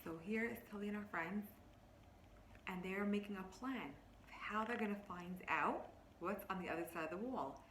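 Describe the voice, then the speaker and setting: Bright-voiced woman reading aloud in echoey room. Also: mid-range voice, moderate pace. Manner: expressive, instructive, addressing children directly. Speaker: teacher reading a picture book to children. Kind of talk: read-aloud storytelling with explanations and questions to young listeners. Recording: roomy, echoing, faint background noise.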